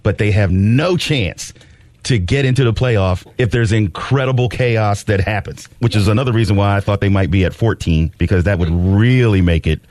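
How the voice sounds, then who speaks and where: A man's voice: lowish voice, moderate pace, darkish tone, dry recording. A man hosting sports talk radio, giving opinionated, conversational, unscripted commentary. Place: dry radio studio.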